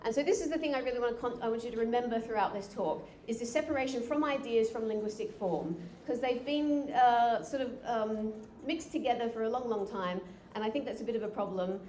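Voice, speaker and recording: A woman's voice: on the high side, brisk, few pauses, darkish tone. A woman giving a live conference talk, chatty with frequent fillers and restarts. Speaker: conference speaker. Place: conference room. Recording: echoey hall with faint noise.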